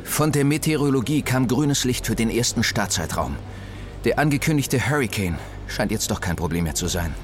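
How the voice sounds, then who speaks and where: An actor playing a matter-of-fact mission controller in an audio drama, firm in passing on orders. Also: male voice, medium pitch, expressive, normal speed, faint music behind, natural voice. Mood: urgent.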